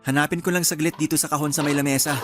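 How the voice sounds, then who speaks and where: A man playing a gentle, apologetic young husband in a Filipino radio drama, hesitant and placating, with a Filipino accent. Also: fairly high pitch, steady tone, racing, over music, close to an everyday voice. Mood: helpful. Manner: hesitant, placating, soft-hearted.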